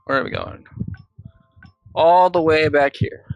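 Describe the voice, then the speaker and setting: Gravelly, offhand male game streamer. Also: on the high side, fairly even intonation, a little slow, lo-fi sound. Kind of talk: casual gameplay commentary.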